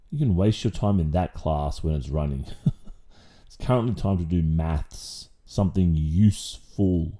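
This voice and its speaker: Slow, deep-voiced strict Australian male teacher, acted by a man. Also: dark tone, heavily acted. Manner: deliberate, sarcastic, with sighs and pointed pauses. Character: strict, dominant male maths teacher, sarcastic and exasperated. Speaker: ASMR roleplay performer. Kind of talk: ASMR boyfriend roleplay.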